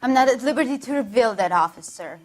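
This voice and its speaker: An actress playing a prim, guarded secretary in a crime video game, formal and frostily polite. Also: female voice, on the high side, animated, fast talker. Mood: frosty. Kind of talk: dramatic video game acting.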